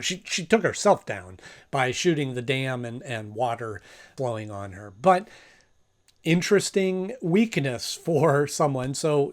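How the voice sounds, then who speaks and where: A man gives casual review commentary as the host. His voice sits a little high, and he talks conversationally, thinking aloud and stressing points as he goes.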